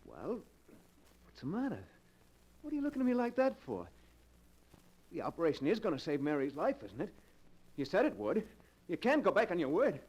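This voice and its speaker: An actor playing a desperate, guilt-ridden American crook in melodramatic, slangy 1940s radio style. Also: male voice, sits high, huge pitch swings, brisk, pauses often, darkish tone, slightly rough, muffled recording, heavily acted. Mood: frantic, demanding. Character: desperate, guilt-ridden locksmith turned criminal. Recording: noisy vintage 1940s radio recording.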